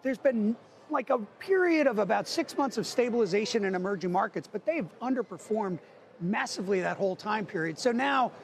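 A middle-aged man speaking as a market strategist on a TV news set, arguing points quickly with many figures. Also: high-pitched, lively intonation, gravelly, slight background noise. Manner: dense with figures, argumentative. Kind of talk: financial news analysis. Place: TV studio on a trading floor.

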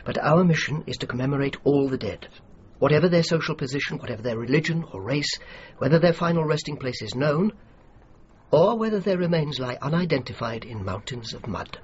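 An actor playing an earnest, dutiful British general with an English accent and a measured, formal, diplomatic way of speaking in a radio drama. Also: male voice, a little high, animated, natural voice. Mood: earnest, placating. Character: earnest, dutiful British general defending his war graves commission. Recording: dull, noisy radio drama recording.

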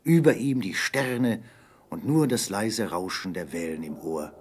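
A man's voice: low-pitched, measured pace, darkish tone, a little hiss or hum. A man narrating an adventure story in a dramatic, scripted style.

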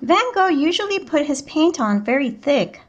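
A woman teacher reading a picture book aloud to children in a clear, expressive storytelling manner. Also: sits high, moderate pace, lo-fi sound. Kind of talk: children's read-aloud narration.

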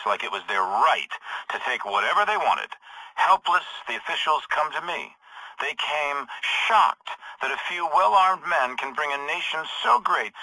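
An actor playing a menacing middle-aged American military tycoon, speaking gravely and with measured command in a game monologue. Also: male voice, mid-range voice, bright, forceful tone, dry recording, heavily acted, low-quality recording. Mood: cold, persuasive.

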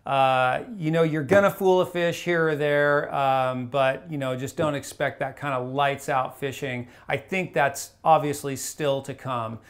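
A man in his forties giving a casual fishing report to camera in a small wood-paneled room, relaxed and rambling with lots of fillers and restarts. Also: mid-range voice, unhurried, hardly any pauses. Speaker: fly shop fishing reporter.

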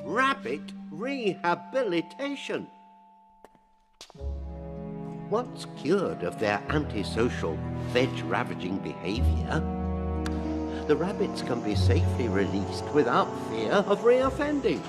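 A cheery middle-aged northern english inventor, highish voice, eager, music behind, cartoon game. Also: male voice, huge pitch swings, unhurried. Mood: excited. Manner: eager, genial, exclamatory. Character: cheery, bumbling middle-aged male inventor and pest controller. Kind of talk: animated game cutscene acting.

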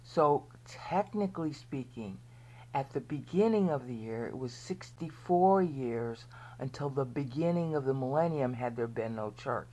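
A woman's voice: very deep voice, animated, measured pace, warm tone, poor audio. A woman with an American accent giving a rambling Bible lesson over a screen share. She often checks in with "okay" and sometimes sinks to a near whisper. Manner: drops to a near whisper at times. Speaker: Bible teacher.

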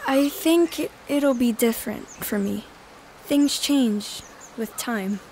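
An actress playing a sympathetic, curious twelve-year-old girl with a hesitant, gentle way of talking. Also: fairly high pitch, slow, slight background noise, low-quality recording. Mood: sympathetic, encouraging. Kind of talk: audio drama acting.

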